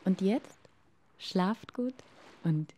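Very slow, expressive woman storyteller. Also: medium pitch, slightly rough. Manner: expressive, deliberate, dropping to hushed tones. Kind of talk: dramatic bedtime fairy-tale narration.

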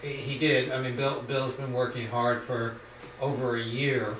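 A man at a board meeting table, giving a halting report with many fillers, recorded from a distance in a small studio room. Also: medium pitch, little pitch movement, fairly bright tone. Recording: roomy, distant, faint hiss.

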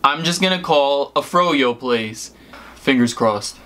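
Young American male vlogger, average pitch, casual and hesitant, filmed in a small room. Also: expressive, takes it slowly, pushed and bright. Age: young adult. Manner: hesitant, rambling, heavy use of "like". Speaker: prank-call vlogger. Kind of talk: casual chat to camera and on phone calls.

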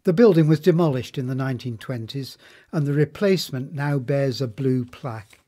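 A man reading a scripted biographical narration in a measured, formal manner. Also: mid-range voice, mellow.

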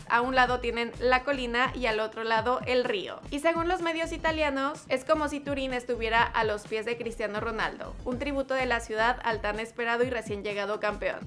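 A young woman hosting a celebrity house tour in scripted narration, bright and upbeat. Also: fairly high pitch. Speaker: host. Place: studio with purple backdrop.

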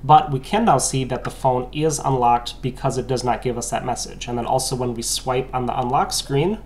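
A young man hosting a tech video, calmly walking through a phone unlock in a small room, recorded on the camera's mic. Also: mid-range voice. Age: young adult. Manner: matter-of-fact, explanatory. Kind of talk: tech demonstration walkthrough.

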